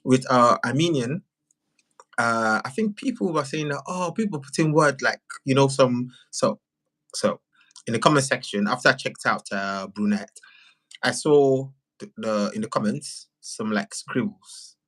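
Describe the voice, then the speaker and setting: A man who makes videos, chatting casually as a livestream guest in an animated way, often repeating words and phrases for emphasis. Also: medium pitch, a little slow.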